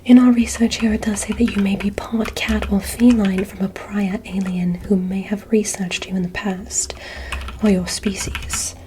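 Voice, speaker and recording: A woman playing a polite, curious female alien medical examiner in an ASMR roleplay, in a soft breathy whisper with formal, stilted phrasing. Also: medium pitch, little pitch movement, background noise, lightly acted. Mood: courteous, curious. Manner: formal, oddly stilted, deliberate, reassuring.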